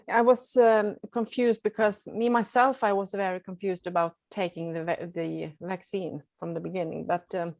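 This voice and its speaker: A woman's voice: lowish voice, steady tone, moderate pace, creaky, a little mellow, no echo, studio quality. A woman with a Swedish accent, a nurse and non-native English speaker, answering interview questions hesitantly while searching for words. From Sweden. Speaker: nurse guest.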